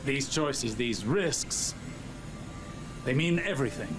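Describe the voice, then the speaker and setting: Grave scholarly sage over music. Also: male voice, mid-range voice, lively intonation. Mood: grave, earnest. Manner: measured, formal, hesitant in places. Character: grave scholarly male sage, cautious and earnest. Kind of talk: dramatic game cutscene monologue.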